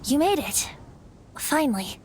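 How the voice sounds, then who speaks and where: Woman as a light, teasing young male bard for a game: a little high, airy, and a natural storyteller. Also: big pitch swings, a little slow, a little hiss or hum. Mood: breezy. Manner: lighthearted and teasing, shifting into a rhyming storyteller's recital. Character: young male wind spirit bard, playful and wistful. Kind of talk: video game cutscene voice acting. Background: game soundtrack music.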